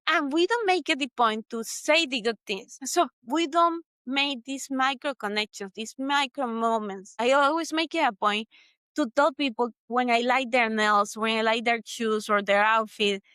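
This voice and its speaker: A woman's voice: fairly high pitch, moderate pace, a bit bright, smooth, dry recording. A woman from Venezuela with a Venezuelan Spanish accent, hosting a solo motivational talk in an earnest, reflective way that repeats points for emphasis. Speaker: podcast host.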